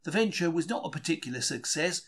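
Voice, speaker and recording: Animated, higher-voiced British man reading a diary. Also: fast. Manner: colloquial, wry storytelling with old-fashioned British slang. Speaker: reader of a diary for a podcast. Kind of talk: scripted first-person narration, dramatised diary reading.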